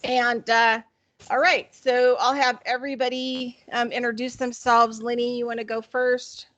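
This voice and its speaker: A woman's voice: fairly high pitch, flat delivery, measured pace, fairly bright tone, dull audio. Chatty woman hosting a video call. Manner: rambling, chatty, many fillers. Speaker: host. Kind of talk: casual group video call.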